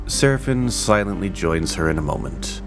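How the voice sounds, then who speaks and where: A man narrating an audio drama as its storyteller in a measured, descriptive way. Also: low voice, animated. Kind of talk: scripted narration. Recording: music underneath.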